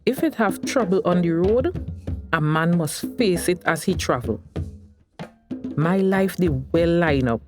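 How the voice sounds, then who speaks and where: Man acting a slow, reflective Tobagonian husband. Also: high-pitched, lively intonation. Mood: musing, self-assured. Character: reflective, self-satisfied middle-aged married man. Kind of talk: radio drama monologue. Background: percussive music.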